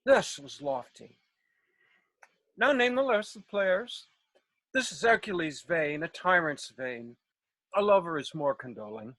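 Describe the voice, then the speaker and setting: A woman's voice: on the low side, animated, unhurried, long pauses, a bit bright, no echo. A woman playing a self-important, overeager male weaver in a Shakespeare read, declaiming theatrically. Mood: grandiose. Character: Nick Bottom, a bombastic, overeager male weaver and amateur actor. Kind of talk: Shakespeare table read over video call. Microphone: video call, close.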